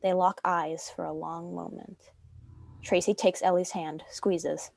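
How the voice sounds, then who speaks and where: A woman's voice: lowish voice, fairly even intonation, a little slow, creaky, a little mellow. A woman reading stage directions as scripted narration for a dramatic script reading.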